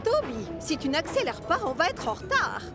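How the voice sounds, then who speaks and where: A woman voicing a bossy yet encouraging female railway carriage in a cartoon, expressive and coaxing. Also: high voice, moderate pace, music in the background, full character voice. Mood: impatient. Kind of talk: French cartoon dub acting.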